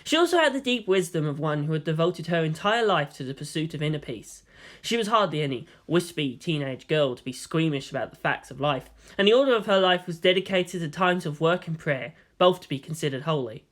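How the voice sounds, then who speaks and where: A man's voice: a little high, expressive, fairly quick, fairly bright tone. A man reading scripted fiction aloud as the narrator.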